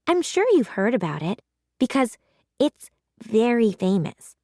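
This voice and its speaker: Expressive woman reading a children's story, dry sound. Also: a little high, measured pace, no echo. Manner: animated, emphatic, clearly paced for children. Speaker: read-aloud storyteller. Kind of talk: expressive children's audiobook narration.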